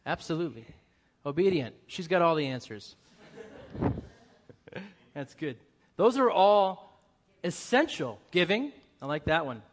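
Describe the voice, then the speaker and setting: A young adult man with a faint Boston accent, preaching a lively church sermon and joking with the congregation. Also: on the high side, slow pace, pauses often. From New England, US. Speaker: guest preacher.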